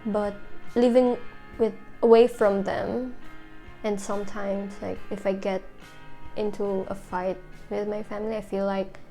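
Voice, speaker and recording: Soft-spoken young woman, slightly gravelly. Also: mid-range voice, level tone, a little slow, faint music behind. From Philippines. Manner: soft-spoken, hesitant, many "like" fillers. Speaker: documentary interviewee. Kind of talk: reflective personal interview.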